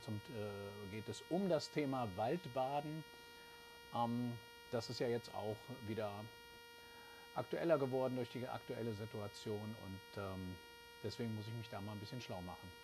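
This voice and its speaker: A man's voice: mid-range voice, background noise. A middle-aged man hosting and interviewing in a casual, chatty style from an office. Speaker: host, interviewer. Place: small office indoors.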